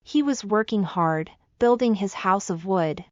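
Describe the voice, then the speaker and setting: A woman's voice: medium pitch, expressive, unhurried, darkish tone, no room sound, highs cut off. A woman reading a scripted children's fairy tale as the storyteller.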